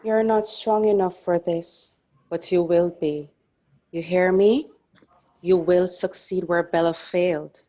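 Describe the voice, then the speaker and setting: A woman's voice: on the low side, fairly even intonation, slow pace, dark tone, highs cut off. A woman reading the part of a stern, controlling mother figure in a dramatic script table read. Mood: ominous, insistent.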